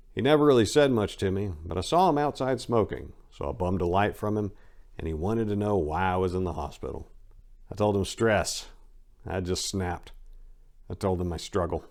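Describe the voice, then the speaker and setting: A man narrating a first-person story as a dramatic reading, in a colloquial, expressive way. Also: sits low, moderate pace. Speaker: audiobook narrator.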